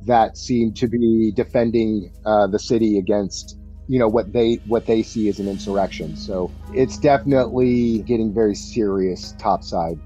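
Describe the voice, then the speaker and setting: A man running a tabletop roleplaying game as game master, casual and conversational, with some halting phrasing. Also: on the low side, warm tone, slightly rough. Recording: dull, muffled audio with music behind. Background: background music.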